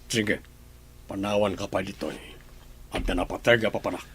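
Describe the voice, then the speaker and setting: A man's voice: low-pitched, steady tone, normal speed, a bit bright, slight background noise. A man acting as a scheming crime boss in a radio play, commanding and scornful. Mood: bossy.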